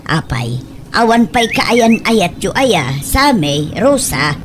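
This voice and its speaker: Woman acting slow-talking fussing mother, noisy recording. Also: medium pitch, very animated. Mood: fussing, nagging. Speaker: radio drama actress. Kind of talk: comedic radio drama acting in Ilocano.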